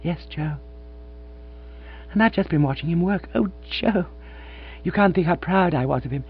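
A man's voice: on the high side, moderate pace, husky, pitched above the performer's own voice. A man voicing a middle-aged woman, a former actress, pleading and tremulous, in a storybook reading. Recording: dull lo-fi with mains hum.

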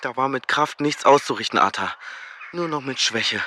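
An actor playing a cynical, war-weary young German soldier in a radio drama, blunt and scornful. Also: male voice, mid-range voice, normal speed, sharp tone, a little hiss or hum, close to an everyday voice. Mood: scornful, defiant.

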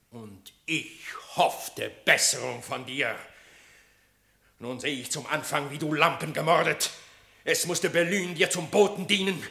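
A stern, accusing king of animals in a radio drama. His declamatory voice is very sharp and rather high, ringing in a roomy, echoing space. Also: exaggerated voice. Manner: commanding, declamatory. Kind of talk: classical verse radio drama. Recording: roomy, echoing studio sound.

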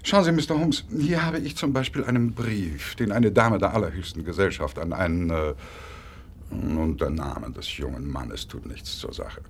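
An actor playing a suave, smug blackmailer in a radio drama, speaking with unctuous mock politeness and drawn-out hesitations. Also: male voice, deep voice, slightly rough, exaggerated voice. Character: suave, smug male blackmailer, oily and coolly menacing.

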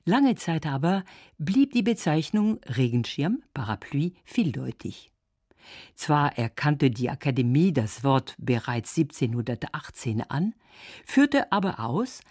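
A woman's voice: sits low, a little mellow, breathy edge, dry recording, clean studio sound. A woman reading scripted narration for a radio feature. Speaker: documentary narrator.

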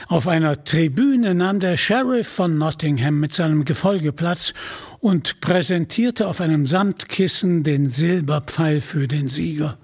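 A man with a husky voice narrating an adventure tale for a radio play in a measured, expressive storytelling manner. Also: a little high.

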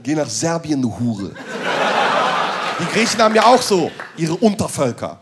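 A man's voice: a little high, very animated, moderate pace, very noisy. A man doing a crude, sardonic stand-up monologue that moves into ranting. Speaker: stand-up comedian.

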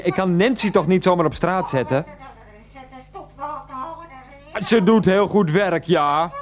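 An actor playing an exasperated middle-aged husband and son in a radio play, flustered, repetitive and placating. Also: male voice, fairly high pitch, natural voice. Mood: protesting.

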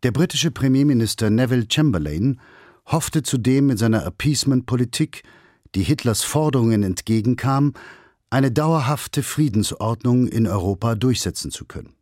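A man's voice: medium pitch, expressive, moderate pace, darkish tone, no echo. Man narrating history in a dry studio. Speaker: documentary narrator.

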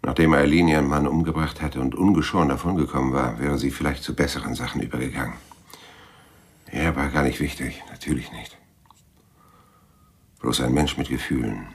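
An actor playing a world-weary, brooding private detective in a radio crime drama, gravelly and laconic. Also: male voice, rumbling low, steady tone, brisk, long pauses, full character voice. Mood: bitter, resigned. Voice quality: gravelly, husky. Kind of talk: hard-boiled radio drama dialogue and narration.

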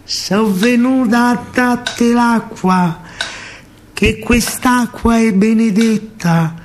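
Radio theatre: mysterious aged Roman fortune-teller woman played by a male actor, high-pitched, extremely unhurried, solemn and oracular. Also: slight background noise, heavily acted. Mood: solemn blessing. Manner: solemn, slow, oracular pronouncements.

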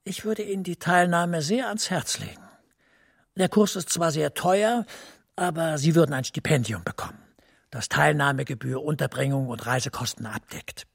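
A man's voice: a little high, moderate pace, vocal fry, fairly bright tone, husky, no room sound, studio quality, heavily acted. An actor in a radio play as an officious male administration superior, speaking formally and persuasively with emphasis.

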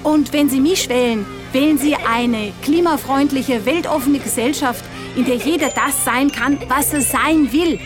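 Earnest, preachy female candidate, very high voice over music, declamatory parody campaign spot. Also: unhurried. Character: earnest, self-righteous transgender woman green politician. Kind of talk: parody election advert. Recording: music bed under voice.

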